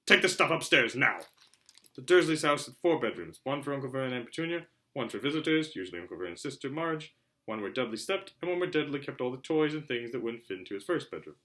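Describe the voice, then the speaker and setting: A man narrating a children's novel aloud in an animated way, occasionally stumbling over words. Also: medium pitch, fast. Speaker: reader, show host. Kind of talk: amateur audiobook-style story narration.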